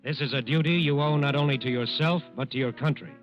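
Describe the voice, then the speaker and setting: A man's voice: mid-range voice, quick pace. Earnest American man narrating old radio. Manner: earnest, admonishing. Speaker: narrator of a crime drama. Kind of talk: scripted 1940s radio narration. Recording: dull old radio broadcast with music. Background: music.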